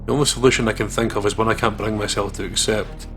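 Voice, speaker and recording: A man's voice: lowish voice, fairly even intonation, quick pace, music in the background. A man hosting a let's play, reading visual novel narration aloud dramatically.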